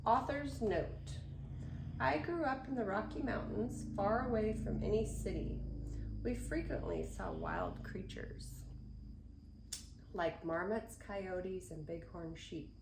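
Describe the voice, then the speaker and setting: An older woman reading a picture book aloud in an expressive, unhurried way, with chatty asides to young listeners. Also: medium pitch. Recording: roomy echo, faint background noise.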